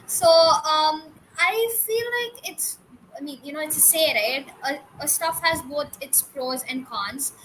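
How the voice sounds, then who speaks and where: Young teen girl on a panel, high voice, thinking out loud, bit of noise behind her. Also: a little slow, low-quality recording. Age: early teens. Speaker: student panelist. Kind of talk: earnest spoken answer on a panel.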